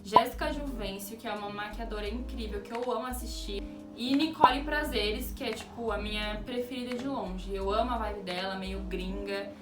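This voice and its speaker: A woman's voice: mid-range voice, normal speed. A woman in her twenties from Brazil, chatting casually as she answers viewer questions in her vlog, with music playing behind. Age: 20s. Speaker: youtuber. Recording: roomy echo, distant mic, music behind. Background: background music.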